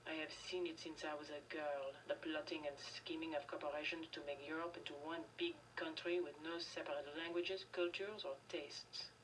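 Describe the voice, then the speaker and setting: A woman's voice: deep voice, steady tone, moderate pace, few pauses, fairly bright tone, far from the mic, slight background noise, low-quality recording. A woman playing a defiant, world-weary cafe keeper in a video game, resolute and conspiratorial. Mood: defiant, guarded.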